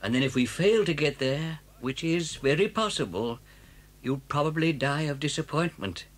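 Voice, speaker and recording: An actor voicing a gentle, clever father fox, hopeful yet cautious, in a children's audio story, with a husky voice. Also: fairly high pitch, lively intonation, moderate pace. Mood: determined, tender.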